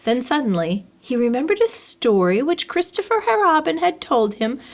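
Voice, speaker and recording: Female children's story reader: highish voice, very animated intonation, deliberate phrasing.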